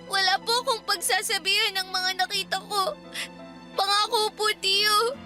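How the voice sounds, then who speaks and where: A woman's voice: extremely high pitch, flat delivery, normal speed, over music. A woman playing a meek, frightened young orphan girl with a Filipino accent in a radio drama, pleading politely.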